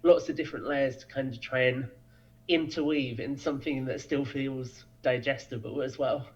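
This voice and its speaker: A man's voice: mid-range voice, fairly even intonation, normal speed, muffled recording. A man giving conversational interview answers as a podcast guest, explaining things with enthusiasm. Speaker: podcast guest, audio drama creator.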